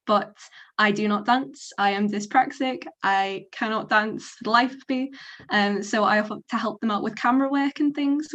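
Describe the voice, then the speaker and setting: A young woman speaking informally as a student panellist, explaining things in a chatty way with examples. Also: medium pitch. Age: young adult.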